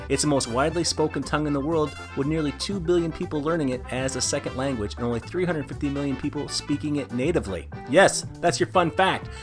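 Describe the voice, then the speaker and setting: A man hosting a podcast, reading a scripted segment in a playful, presentational manner. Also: on the high side. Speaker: podcast host. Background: guitar music.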